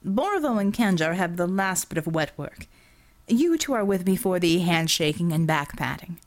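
A woman's voice: on the low side, expressive, normal speed, lightly acted. Woman voicing a commanding, scheming villain boss. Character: scheming, self-assured male villain, leader of crooks.

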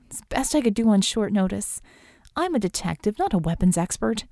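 A woman voicing an urgent, practical female detective, brisk and matter-of-fact under pressure, in an audiobook adventure. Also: mid-range voice, very animated, warm tone, no echo, studio quality.

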